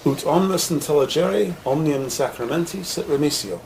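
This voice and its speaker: A man's voice: on the high side, moderate pace, darkish tone, slight background noise, rough recording. A man narrating a scripted crime audio drama with vivid, slightly wry storytelling.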